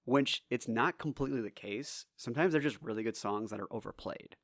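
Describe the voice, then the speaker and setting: A man in his forties with a slightly hoarse voice, chatting loosely on a podcast, rambling and swearing freely. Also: mid-range voice, a little fast, fairly bright tone, dry recording, clean studio sound. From United States. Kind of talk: casual podcast chat.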